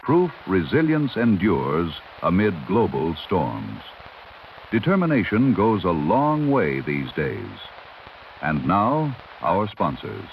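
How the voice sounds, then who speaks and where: A man's voice: sits low, huge pitch swings, slow pace. A man working as a news announcer, reading a scripted newscast in a formal, dramatic 1930s radio style. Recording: dull vintage radio sound, faint noise.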